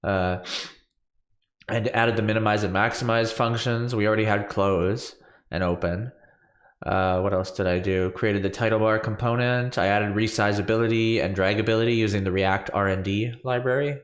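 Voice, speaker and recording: Stuffy man in his thirties, lowish voice, rambling coder streaming from a roomy home setup. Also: fairly even intonation, moderate pace. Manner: rambling, filler-heavy, self-correcting. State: stuffy from allergies. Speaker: coding livestream host. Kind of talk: casual screen-share coding walkthrough. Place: small home room with purple lights. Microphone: large studio condenser mic on boom arm.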